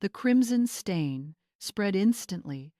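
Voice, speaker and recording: A woman's voice: medium pitch, lively intonation, slow, dark tone, dry recording, clean studio sound. A woman narrator reading a scripted dramatic story in audiobook style.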